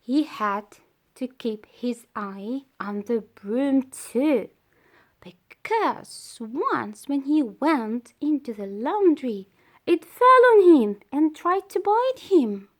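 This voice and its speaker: Very slow, higher-pitched woman reading a story. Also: very animated, darkish tone. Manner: very slow, deliberate, pausing between phrases. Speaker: storybook reader. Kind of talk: children's story read-aloud.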